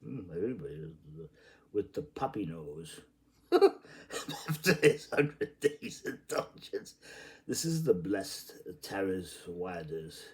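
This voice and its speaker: Male literary reader, slow-paced and theatrical, playful and exclamatory, with big swoops in pitch. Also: a little mellow. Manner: playful, exclamatory delivery with sudden outbursts. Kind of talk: theatrical literary reading aloud.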